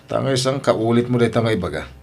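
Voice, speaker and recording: A man's voice: medium pitch, fast, gravelly, a little mellow. An actor playing a blunt, hardworking family man in a radio drama, with an Ilocano accent and an insistent, plain-spoken manner. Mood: blunt, nagging. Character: hardworking father and son, blunt with his relatives.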